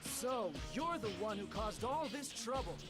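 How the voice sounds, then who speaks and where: Game casting: scolding commander, fairly high voice, very slow deliberate delivery, loud music beneath. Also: female voice, animated.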